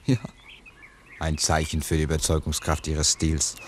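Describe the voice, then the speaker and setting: An actor playing a wry, sceptical, inquisitive photographer in a 1960s German radio play, dry and precise. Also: male voice, rumbling low, monotone, moderate pace. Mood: wry, teasing. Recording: noisy 1962 mono radio recording.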